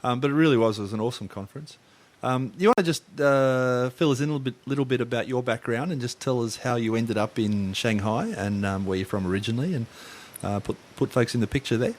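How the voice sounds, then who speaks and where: A man's voice: mid-range voice, quick pace. A man hosting a podcast interview in a loose, rambling way, full of fillers and restarts. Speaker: podcast host.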